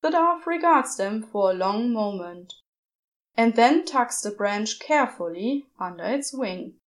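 A woman reading a story aloud as narrator, measured and steady. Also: a little high. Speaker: podfic narrator.

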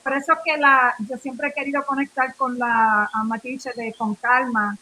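A woman's voice: high voice, level tone, normal speed, pushed and bright, low-quality recording. A Nuyorican woman from New York, a radio interview guest, telling her story in rambling Spanish full of fillers, restarts and English words. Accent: Nuyorican, Spanish mixed with English words. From New York, of Puerto Rican family. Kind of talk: casual interview talk.

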